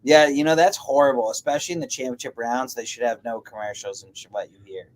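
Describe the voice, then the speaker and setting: A man co-hosting a casual watch-along, calling the fight's action in a relaxed way and chuckling as he goes. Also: medium pitch, fast talker, poor audio. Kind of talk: casual play-by-play sports chat.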